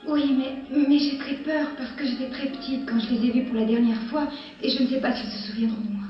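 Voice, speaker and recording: A woman playing a young princess in a French-dubbed cartoon, gentle, apologetic, anxious and polite. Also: sits high, steady tone, fairly quick, far from the mic, close to an everyday voice. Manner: soft-hearted, apologetic, formal. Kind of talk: French cartoon dub acting. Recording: very echoey cartoon soundtrack with loud music.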